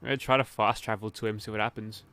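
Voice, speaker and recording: A man's voice: fairly low pitch, flat delivery, very fast, sharp tone. A man chatting casually and joking dryly while playing a game. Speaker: gamer, channel host. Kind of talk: casual chat while gaming.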